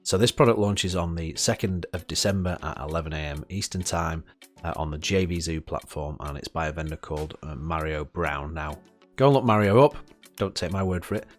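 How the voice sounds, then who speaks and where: Deep-voiced man with a cold. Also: fairly quick, faint music behind. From United Kingdom. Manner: chatty, frequent "uh" fillers and restarts. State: has a head cold, sniffling. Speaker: product reviewer. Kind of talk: casual product review to camera.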